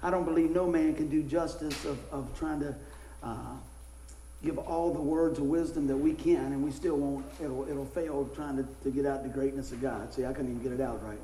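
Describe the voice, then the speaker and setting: Hoarse middle-aged male pastor, folksy sermon, mellow tone, echoey church room. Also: normal speed, slight background noise. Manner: folksy, animated storytelling with rising pitch in anecdotes. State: hoarse, losing his voice. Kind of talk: casual sermon.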